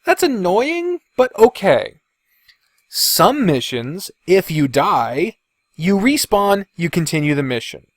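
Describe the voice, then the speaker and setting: Higher-pitched man griping bluntly, swearing often. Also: sing-song, takes it slowly, no echo, clean studio sound. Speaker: podcast host. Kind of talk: casual opinionated video game commentary.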